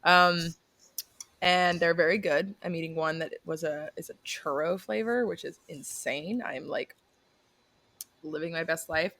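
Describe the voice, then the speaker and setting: Young woman podcast co-host, bright tone, animated casual chatter with frequent laughs. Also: low voice, unhurried. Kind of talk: casual chat.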